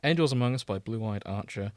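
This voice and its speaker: A man with a fairly low voice hosts a podcast. He talks casually and jokily, as if among friends. The sound is close and dry, with very little room on it. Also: lively intonation, fast talker, a little mellow, no echo. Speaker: podcast host. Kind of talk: casual comedic chat.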